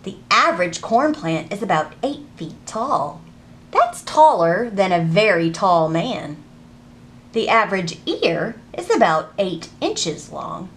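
A woman in her thirties hosting a children's storytime, reading aloud and teaching in an animated, deliberate, sing-song way. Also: mid-range voice. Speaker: children's librarian and storytime host. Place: public library children's section.

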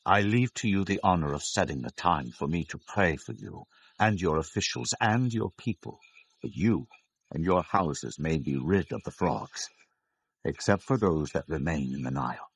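An actor playing an earnest, firm Hebrew prophet with a measured, solemn manner in a scripture audio drama. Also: male voice, sits low, animated, vocal fry, slightly rough, dry recording, heavily acted. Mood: solemn assurance.

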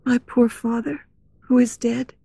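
A woman's voice: fairly high pitch, measured pace, vocal fry, darkish tone, no echo, clean studio sound, pitched above the performer's own voice. A woman playing a shy, emotional young woman who speaks guardedly in an audiobook story. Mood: calm, gentle.

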